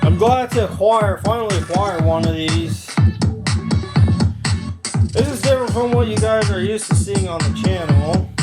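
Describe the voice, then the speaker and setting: A man talking informally in a hands-on product demo vlog, rambling a little and correcting himself as he goes. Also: high voice. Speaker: video maker reviewing audio gear.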